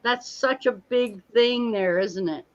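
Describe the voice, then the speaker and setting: A woman hosting a casual live-streamed watch party, chatty and quick to laugh. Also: on the high side, muffled recording. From Canada. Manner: chatty, laughs often, repeats herself.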